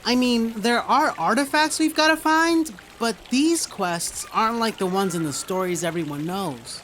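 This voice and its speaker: A woman's voice: fairly high pitch, moderate pace, a bit bright, a little hiss or hum, close to an everyday voice. A woman playing an earnest young heroine in an audio drama, patiently explaining a secret to a friend.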